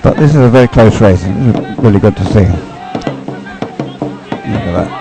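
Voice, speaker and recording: A man's voice: fairly low pitch, normal speed, music in the background, crowd noise, rough recording. A man giving live sports commentary in a hesitant, conversational, loosely phrased way. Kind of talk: live commentary on dragon boat racing.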